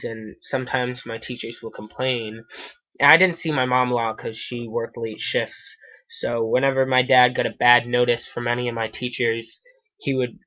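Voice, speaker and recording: A man's voice: medium pitch, close to an everyday voice. A man playing a troubled, self-pitying addict on a prank hotline call, stammering and rambling, in a muffled recording.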